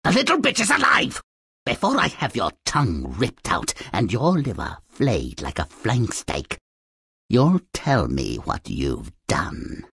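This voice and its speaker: Husky, wicked evil queen. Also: extremely low pitch, huge pitch swings, unhurried, a bit bright, no room sound, clean studio sound, exaggerated voice. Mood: furious. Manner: imperious, theatrical, scornful. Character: wicked, vain evil queen, cruel and domineering stepmother. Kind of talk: video game character acting.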